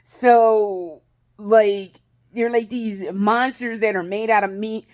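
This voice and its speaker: A woman's voice: mid-range voice, fairly even intonation, takes it slowly, a little mellow. A woman vlogging in a casual, rambling way, swearing freely, laughing often and restarting her sentences. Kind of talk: casual rambling vlog chat.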